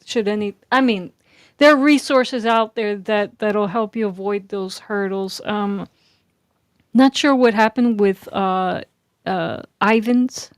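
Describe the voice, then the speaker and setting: A woman with a smooth, clear voice in a dry, close-sounding recording. She chats casually as a co-host, rewording her thoughts as she goes. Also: medium pitch, steady tone, slow, dry recording. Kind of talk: casual chat.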